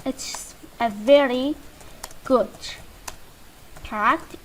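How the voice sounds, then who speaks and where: Woman teacher, high bouncy voice, very slow drills, faint background hiss. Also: rough recording. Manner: slow, drawn-out drilling with repetition. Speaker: English teacher. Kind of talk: slow beginner English lesson.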